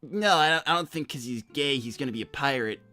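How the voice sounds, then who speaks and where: A man plays an awkward young cartoon hedgehog with a bright, forward voice. He stammers, rambles and backtracks as he tries eagerly to keep up in improvised role-play. Music plays behind him. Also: medium pitch, fast talker, lightly acted. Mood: curious, casual.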